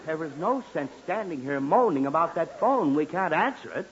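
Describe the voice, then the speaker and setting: A man's voice: fairly high pitch, animated, moderate pace, lightly acted. An actor playing an exasperated, blustering middle-aged father in an old time radio comedy. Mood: placating. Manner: flustered, blustering, sputtering. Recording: dull, hissy old radio recording.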